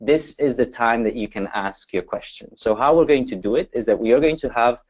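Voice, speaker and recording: A man's voice: mid-range voice, a little fast, darkish tone. A man moderating an online webinar panel, upbeat and filler-heavy, speaking over a video call. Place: Amsterdam.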